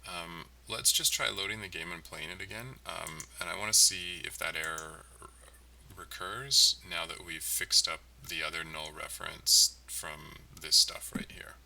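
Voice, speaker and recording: A man giving casual, halting commentary as he codes on a livestream, muttering to himself as he thinks aloud. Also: deep voice, vocal fry, pushed and bright, far from the mic, slight background noise. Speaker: game developer livestreaming. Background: typing and mouse clicking.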